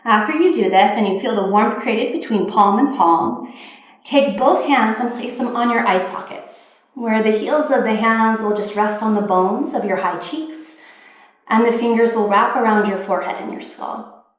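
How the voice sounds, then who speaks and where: Woman doing guided meditation, kinda high soft gentle delivery, takes her time, super echoey room. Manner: gentle, soothing, unhurried guidance with pauses.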